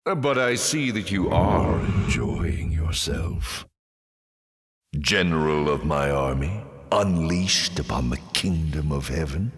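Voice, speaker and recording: A very low, swooping voice for a sardonic demon lord in a video game. He is urbane and theatrical, toying with the listener in mocking flourishes. The sound rings with long, cavernous reverb. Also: creaky, exaggerated voice. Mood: sly, wry. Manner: urbane, mocking, drawn-out delivery with dramatic flourishes.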